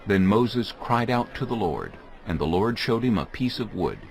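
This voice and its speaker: A man's voice: lowish voice, big pitch swings, moderate pace, vocal fry, a little hiss or hum. A man narrating scripture as a dramatic story, in a solemn storytelling manner.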